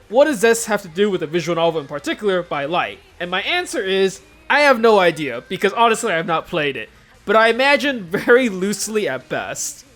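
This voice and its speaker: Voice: medium pitch, fairly bright tone. A casual, joking video host narrating a scripted countdown commentary.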